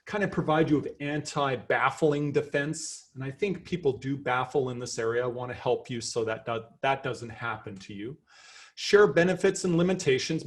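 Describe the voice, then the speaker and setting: A middle-aged Canadian man with a Canadian accent giving a lively, chatty seminar lecture as a professor, enthusiastic and self-deprecating. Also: medium pitch.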